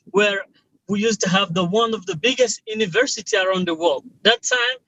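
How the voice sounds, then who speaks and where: Man, guest on a call, high bright tone, animated storyteller. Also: low-quality recording. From Mali. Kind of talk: conversational interview.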